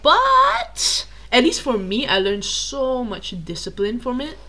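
A woman's voice: high voice, wildly swooping pitch, slow pace, bright, forceful tone. A young woman from Malaysia co-hosting a casual chat, chatty and teasing. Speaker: co-host.

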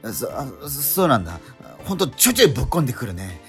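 Man playing teenage schoolboy, bemused, good-natured, animated range, straight-man reactions, radio drama, light music behind. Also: medium pitch, rapid-fire, close to an everyday voice. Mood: flustered. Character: bemused, good-natured transfer-student schoolboy, easily flustered.

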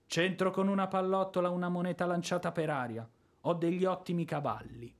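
An actor playing a hot-headed, lovestruck ex-army officer in a theatrical radio drama, bombastic and impulsive. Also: male voice, sits high, fairly even intonation, a little fast. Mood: desperate ardour. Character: blustering, hot-headed ex-artillery officer, helplessly smitten.

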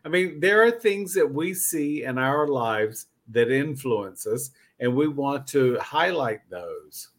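A man's voice: mid-range voice, lively intonation, slow pace, hardly any pauses. A man hosting a casual interview podcast, talking in a campy, familiar way with emphatic repeats. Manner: campy, uses "honey", repeats himself for emphasis. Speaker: podcast host.

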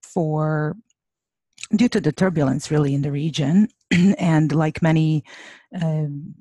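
A woman's voice: sits low, steady tone, slow pace, warm tone, dry recording. A woman in her forties from Serbia with a Serbian accent, a podcast guest talking with many fillers and restarts. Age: 40s. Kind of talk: conversational interview.

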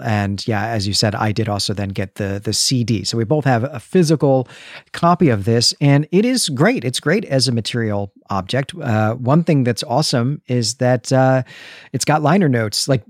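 A man's voice: mid-range voice, no room sound, studio quality. A man hosting a podcast, talking casually and enthusiastically as he gives a review. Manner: enthusiastic, effusive. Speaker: podcast host.

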